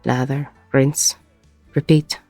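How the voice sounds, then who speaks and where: A woman playing a weary, regretful ex-convict bar owner in an intimate audio roleplay, with a husky, gravelly voice and a reflective delivery full of pauses. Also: very deep voice, little pitch movement, mellow, no room sound, soft background music, heavily acted. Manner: slow, reflective, pausing mid-sentence.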